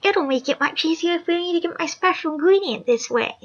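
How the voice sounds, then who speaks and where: A woman voicing a cheerful, creepily sweet pink pony mare, speaking high and sing-song, on a dull lo-fi recording. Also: expressive, a little fast. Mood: gleeful.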